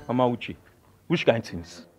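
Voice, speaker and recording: A man with a Nigerian accent playing a blustering, incredulous compound landlord in a comedy film, exclamatory and drawn-out. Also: mid-range voice, sing-song, a little mellow, breathy edge, soft background music. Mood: dismissive disbelief.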